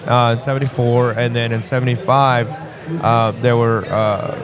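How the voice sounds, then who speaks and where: Relaxed man in his forties, crowd behind. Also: mid-range voice, normal speed. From born in Vietnam, raised in the US Midwest. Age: 40s. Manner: relaxed, rambling, many restarts and fillers. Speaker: guest musician. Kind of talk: casual interview chat.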